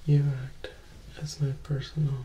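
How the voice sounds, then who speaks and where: A young man playing a charismatic, coolly menacing male vampire in a hushed, intimate, coaxing ASMR roleplay. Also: on the high side, monotone, moderate pace, reverberant, dull audio, a little hiss or hum, natural voice. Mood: coaxing, sly. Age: young adult. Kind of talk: ASMR roleplay, whispered and soft-spoken.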